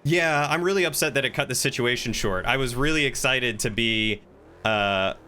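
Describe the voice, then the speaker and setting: Man, mid-pitched, forceful bright voice, casual roleplay streamer, clear background noise. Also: normal speed. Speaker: streamer playing a crime roleplay character. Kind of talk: casual in-character game roleplay chat.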